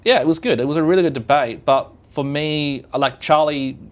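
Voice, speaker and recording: A man's voice: a little high, moderate pace. An Australian man from South Australia hosting a casual podcast interview. He rambles, using lots of fillers and restarting his sentences. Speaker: podcast host.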